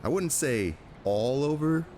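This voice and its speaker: A man's voice: fairly high pitch, expressive, unhurried, a little mellow, a little hiss or hum. A man playing a cocky, flippant strike team agent in a drama, mocking and offhand. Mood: smug. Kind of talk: dramatic dialogue.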